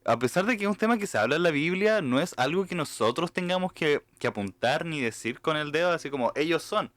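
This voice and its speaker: A man's voice: on the high side, expressive, a little fast, a bit bright, dry recording. Earnest man with an Argentine tinge. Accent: Argentine-tinged Spanish. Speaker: podcast co-host. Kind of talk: casual podcast chat.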